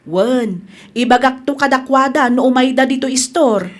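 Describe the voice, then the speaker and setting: A woman's voice: high-pitched, normal speed, close to an everyday voice. A woman with a Filipino Ilocano accent playing a kind, generous storekeeper wife in a radio comedy-drama. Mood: considerate.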